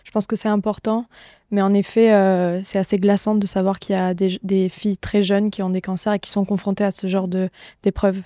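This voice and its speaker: A woman's voice: medium pitch, flat delivery, a little fast, dark tone, dry recording, studio quality. A woman sharing personal experience as a guest in a casual conversation. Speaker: podcast guest.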